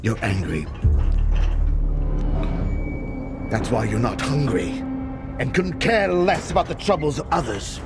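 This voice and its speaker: Actor as wry, theatrical stalker leader, swooping delivery. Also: male voice, mid-range voice, lively intonation, moderate pace, close to an everyday voice. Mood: teasing. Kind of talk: dramatic video game dialogue. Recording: loud music under the voice.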